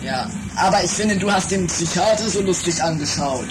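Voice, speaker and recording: A performer voicing a flippant, nosy divorced gentleman in an improvised eighties comedy radio play. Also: medium pitch, normal speed, loud music behind the voice, lightly acted, low-quality recording. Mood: teasing, nosy.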